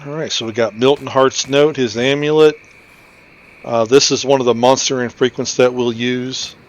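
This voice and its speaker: A man hosts a game playthrough in an average-pitched voice. He talks casually through what he is doing, as in an informal gaming video. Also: fairly even intonation, normal speed.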